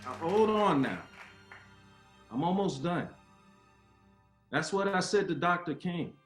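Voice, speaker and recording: A man's voice: sits high, sing-song, slow pace, heavily acted. An actor playing an earnest baseball great, father and civil rights speaker in a dramatic table read, with oratorical delivery. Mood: rousing. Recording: roomy echo with music behind. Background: music.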